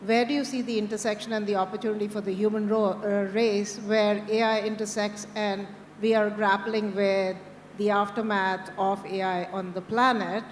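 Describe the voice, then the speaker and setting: A woman's voice: medium pitch, little pitch movement, moderate pace. A woman asking a rambling question from the audience at a conference, speaking into a handheld mic with many fillers and restarts. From India. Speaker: audience questioner, fund founder. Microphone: handheld microphone. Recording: echoey hall with faint background noise.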